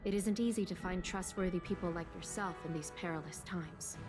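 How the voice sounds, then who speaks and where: A woman's voice: fairly low pitch, fairly quick, music in the background, lightly acted. An actress voicing a composed, shrewd woman official in a video game, speaking formally and articulately. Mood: composed, attentive. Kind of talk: video game character dialogue.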